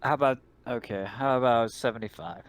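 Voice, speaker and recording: A man's voice: mid-range voice, brisk, close to an everyday voice. A man playing an argumentative, sweary space officer haggling as a customer in an improvised tabletop roleplaying game. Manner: grumbling, profane, argumentative.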